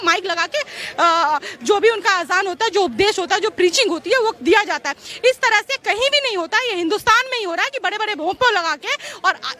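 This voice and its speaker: A woman's voice: extremely high pitch, pushed and bright. A woman giving her opinion insistently as a political spokesperson, repeating words for emphasis.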